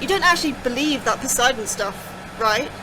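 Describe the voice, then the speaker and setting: A woman's voice: sits high, expressive, a little fast, background noise. A woman playing an anxious, inquisitive young scientist in an audio drama, with a hesitant, questioning manner. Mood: curious, unsure. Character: anxious, inquisitive, sceptical young female astrobiologist. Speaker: voice actor in a fiction podcast. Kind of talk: naturalistic audio drama acting.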